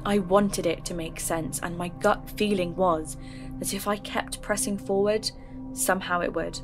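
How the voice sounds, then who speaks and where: An actress narrating in first person as a podcaster looking into a mystery. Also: female voice, lowish voice, steady tone, a little slow, lightly acted. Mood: reflective. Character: young female podcaster investigating a mystery. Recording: music bed under narration.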